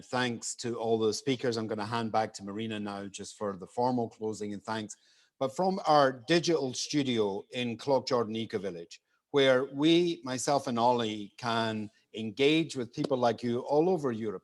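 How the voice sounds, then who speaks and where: A man's voice: medium pitch, normal speed. A man moderating an online event in an informal hosting style. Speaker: webinar moderator. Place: studio for online streaming.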